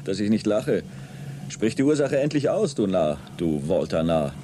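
A fatalistic astronaut in a radio play. The voice is deep and lively, rising and dropping with sardonic, taunting theatricality. Faint noise lies behind the speech. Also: male voice, normal speed. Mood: mocking, bitter. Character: astronaut Albert, cynical, fatalistic, mocking space crewman. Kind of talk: German radio play acting.

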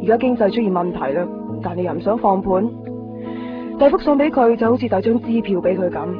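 A woman's voice: medium pitch, fairly quick, lightly acted. An actress playing a wistful, observant young woman in a radio drama, with a Hong Kong Cantonese accent. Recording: muffled radio broadcast over music.

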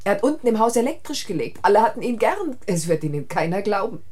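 A weary, traumatized wife in a radio crime play. Her voice is husky and moves through a wide range. She speaks haltingly and intensely, circling back on her own words. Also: mid-range voice, very animated, a little mellow. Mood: bitter, resigned. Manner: halting, repetitive, intense delivery. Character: weary, traumatized wife who killed her tormenting husband. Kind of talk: German radio crime drama acting.

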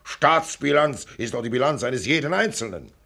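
Radio play: self-assured older millionaire, gravelly and blunt, clipped commands, swinging intonation. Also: male voice, mid-range voice, expressive, normal speed, sharp tone, exaggerated voice. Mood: brisk, lecturing. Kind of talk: radio drama acting.

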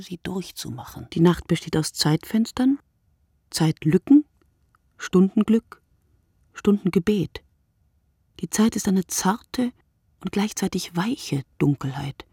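A woman playing a brooding, solitary writer in a radio play monologue, speaking deliberately and poetically, often dropping to a breathy hush. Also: lowish voice, no echo, studio quality, heavily acted. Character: wakeful solitary night writer, a woman, brooding and word-obsessed.

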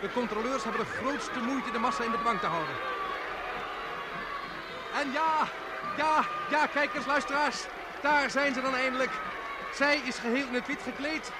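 Frantic radio-play commentator, extremely high voice, exclamatory, urgent, loud outdoor-like noise. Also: male voice, moderate pace, long pauses, a loud crowd. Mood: excited. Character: frantic, excitable male live broadcast commentator.

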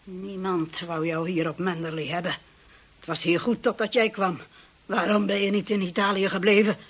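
An actress playing a hostile, obsessive housekeeper in a radio drama, speaking with slow, menacing contempt. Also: female voice, lowish voice, steady tone, breathy edge. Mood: cold, scornful. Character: hostile, obsessive, grieving housekeeper, a grown woman.

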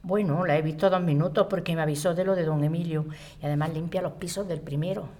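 A woman's voice: low-pitched, steady tone, fast talker, warm tone, lightly acted. Chatty woman neighbour in an echoey room, acted. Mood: nosy. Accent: Spain. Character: chatty, grateful woman neighbour, curious about others. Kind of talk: audio drama dialogue.

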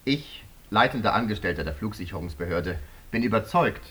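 An actor playing a stubborn, defensive senior official of an aviation authority in a German radio drama, speaking emphatically. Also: male voice, lowish voice, lively intonation, fairly quick, a bit bright. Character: defensive, stubborn male air-traffic authority executive.

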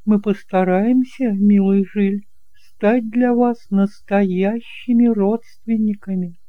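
A man's voice: high-pitched, fairly even intonation, slow, no echo, phone-quality sound, pitched above the performer's own voice. A man voicing a genteel, middle-aged widowed aunt who speaks with emphatic, solicitous insistence in an audiobook drama. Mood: sweet, affectionate.

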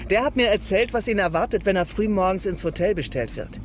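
An actress playing a savvy, gossipy newspaper reporter in a radio crime comedy, chatty, colloquial and teasing. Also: female voice, mid-range voice, a little fast, lightly acted. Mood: amused, teasing. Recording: clear vehicle noise behind. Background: car and street noise.

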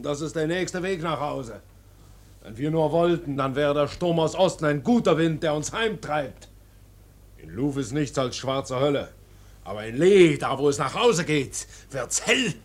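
A man acting a cheeky, fearful, garrulous second mate at sea in a radio play, chatty and excitable. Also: fairly high pitch. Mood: puzzled, uneasy. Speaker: actor in a radio drama.

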